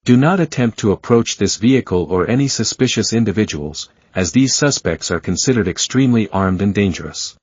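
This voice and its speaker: Male alert announcer, lower register, formal and even delivery, muffled dry broadcast sound. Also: fairly quick, hardly any pauses, breathy edge, no room sound. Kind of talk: scripted emergency bulletin reading. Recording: dull lo-fi dry broadcast audio.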